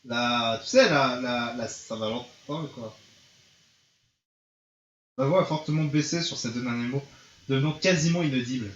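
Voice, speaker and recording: A man's voice: mid-range voice, normal speed, pauses often, fairly bright tone, slight background noise. A man streaming a visual novel, reading the text aloud and mixing in casual reactions, sometimes stumbling over words. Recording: roomy, dull sound with music behind.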